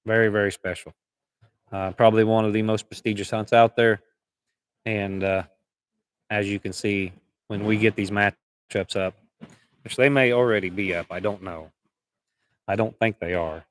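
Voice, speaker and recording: A man with a hoarse voice hosting a live event stream in a casual, rambling, folksy way from a packed indoor hall. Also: on the low side. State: hoarse from calling out. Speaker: livestream host.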